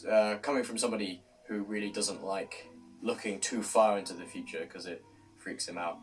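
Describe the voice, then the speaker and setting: A man's voice: lowish voice, steady tone, moderate pace, faint music behind, poor audio. A young British man speaks as a vlogger reflecting on his own life. His delivery is casual and rambling, and he tends to put himself down as he goes. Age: early 20s. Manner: self-deprecating, rambling. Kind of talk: casual personal vlog and reflective narration.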